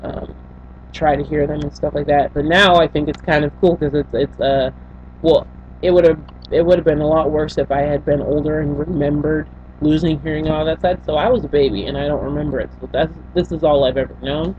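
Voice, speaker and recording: A young woman from Senegal speaks into a built-in webcam mic, picking her words haltingly with lots of restarts. The audio is dull and lo-fi, with a faint hum underneath. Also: low-pitched, fairly even intonation. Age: young adult. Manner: halting, with many fillers and restarts. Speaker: vlogger. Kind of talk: personal storytelling to camera. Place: small bedroom indoors.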